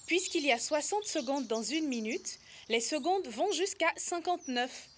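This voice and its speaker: High-voiced, helpful cartoon youngster. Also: female voice, animated, moderate pace, slight background noise. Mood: patient, instructive. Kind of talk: educational cartoon dub acting.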